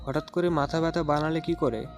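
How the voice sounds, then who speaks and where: A man's voice: on the high side, fairly even intonation, brisk, close to an everyday voice. Reserved young husband over music, man acting. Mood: concerned. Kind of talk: dramatised audio story dialogue. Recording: clear music under the voice.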